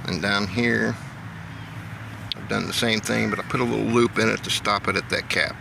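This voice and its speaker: An American man from Indiana giving a casual, plain-spoken, unhurried how-to demonstration as a hobby vlogger, pausing as he works. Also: on the low side, steady tone, gravelly, background noise. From Indiana, United States. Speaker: amateur radio hobby vlogger. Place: outdoors.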